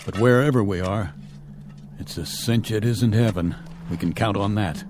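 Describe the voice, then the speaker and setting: An actor playing a stern, pragmatic spaceship colonel with an authoritative manner in a radio drama. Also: male voice, fairly low pitch, normal speed, a little mellow, a little hiss or hum, lightly acted. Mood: grim, measured. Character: stern, commanding male spaceship colonel, steady under strain.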